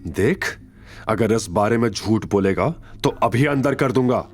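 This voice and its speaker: A man acts out a police officer of forty in an audio drama. He is stern and commanding, talking down with threat and mockery. His pitch moves in a lively way over background music. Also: unhurried. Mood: mocking, threatening. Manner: commanding, intimidating, informal address. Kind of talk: audio drama character acting.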